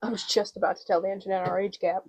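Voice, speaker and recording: A woman's voice: medium pitch, little pitch movement, very fast, a little mellow, husky, no echo. A woman in her late twenties bantering playfully with her partner on a home vlog. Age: late 20s. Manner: teasing, playful. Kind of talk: casual banter.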